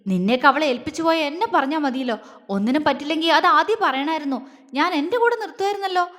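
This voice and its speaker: Audio-story role: desperate, demanding young husband, played by a woman, high-pitched, in long reverb. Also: expressive, fast, fairly bright tone. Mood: furious. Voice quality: trembling, roaring when angry. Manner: stammering, urgent, demanding. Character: distraught young husband, furious, searching for missing wife. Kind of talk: dramatic audio-story dialogue.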